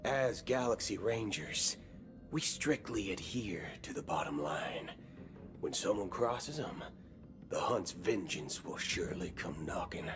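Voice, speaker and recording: An actor playing a swaggering, wry cowboy gunslinger in a video game, talking in folksy slang. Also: male voice, low voice, lively intonation. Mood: drawling. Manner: folksy slang, slow drawling delivery. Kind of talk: video game cutscene acting. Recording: game audio with background music.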